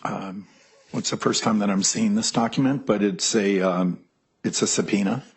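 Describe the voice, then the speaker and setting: Hesitant husky-voiced male witness. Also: fairly low pitch, moderate pace. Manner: hesitant, many ums, reading aloud. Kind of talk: courtroom testimony. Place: courtroom.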